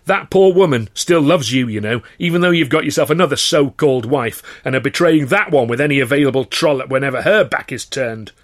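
A man voicing a furious, defiant young man in a storybook, shouting and snarling his words. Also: medium pitch, brisk, few pauses, pitched above the performer's own voice, close to an everyday voice.

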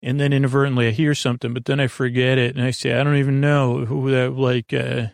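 A man hosting a bedtime podcast in a meandering, halting monologue, trailing off mid-sentence and gently reassuring the listener. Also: medium pitch, flat delivery, gravelly, no echo, studio quality. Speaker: podcast host.

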